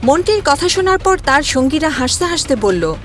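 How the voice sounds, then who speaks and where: A woman's voice: sits high, very animated, moderate pace, fairly bright tone, soft background music. A woman narrator reading a scripted cartoon fairy tale.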